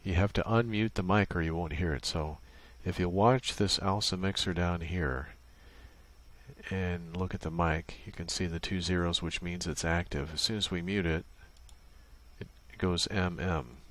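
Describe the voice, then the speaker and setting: A man's voice: deep voice, steady tone, moderate pace. A man presenting an unscripted tutorial, explaining a setup casually, step by step. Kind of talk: casual unscripted software walkthrough.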